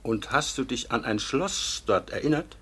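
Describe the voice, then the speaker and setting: An actor playing a kindly older court official in a German radio drama, husky, patient and gently admonishing in a measured paternal way. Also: male voice, mid-range voice, lively intonation. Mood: thoughtful.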